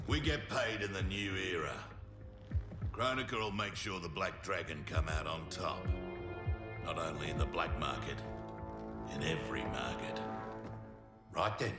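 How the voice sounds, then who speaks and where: An actor playing a sly, cocky, middle-aged Australian mercenary crime boss in a video game, gruff, taunting and swaggering. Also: male voice, very deep voice, a little slow, long pauses. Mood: scheming. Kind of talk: video game cutscene acting. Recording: roomy echo, loud music underneath.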